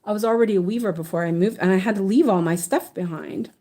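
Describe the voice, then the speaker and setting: A woman's voice: mid-range voice, fast talker, dark tone. A woman living in Sweden, chatting casually in a rambling way with many restarts while she works on a stitching project. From English-speaking country, living in Sweden. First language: English. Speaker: stitching channel host.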